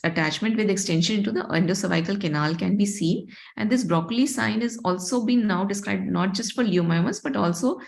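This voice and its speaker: A woman's voice: medium pitch, vocal fry, darkish tone. A woman lecturing on radiology cases, explaining step by step in a didactic way. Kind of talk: case-based medical lecture.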